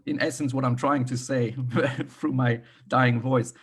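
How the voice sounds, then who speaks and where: A man's voice: medium pitch, steady tone, a little slow. A man co-hosting an online panel, sick and losing his voice, speaking with hesitant fillers and wry self-deprecation. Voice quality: husky. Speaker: event co-host. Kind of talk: opening remarks at an online panel. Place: video call.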